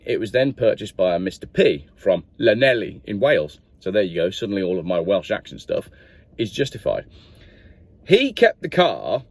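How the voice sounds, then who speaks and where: A man's voice: mid-range voice, a little mellow, dry recording. Bloke rambling about a car he's bought, voice bouncing up and down, dry close sound. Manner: chatty, rambling, asks viewers directly. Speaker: car reviewer. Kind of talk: casual car review vlog.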